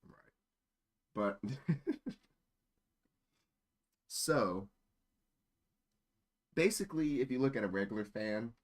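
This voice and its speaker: A man's voice: on the low side, expressive, takes it slowly, pauses often. A man streaming casual chat in a rambling, easily sidetracked way. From born in Germany. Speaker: live streamer.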